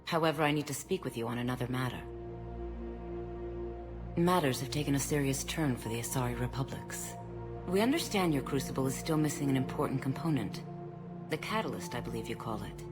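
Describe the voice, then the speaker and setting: Low-voiced, dignified alien diplomat. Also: female voice, lively intonation, music in the background. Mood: businesslike. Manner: formal, measured, guarded. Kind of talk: video game voice acting.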